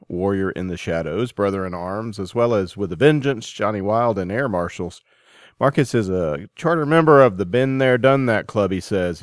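A man's voice: lowish voice, no room sound, studio quality. A man hosting a podcast, giving introductions and putting questions to a guest. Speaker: podcast host and interviewer.

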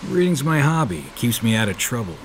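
A man playing a big, tough American ex-convict private investigator in a hardboiled period audio drama, calm, understated and deadpan. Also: medium pitch, fast talker, slight background noise. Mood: guarded, modest.

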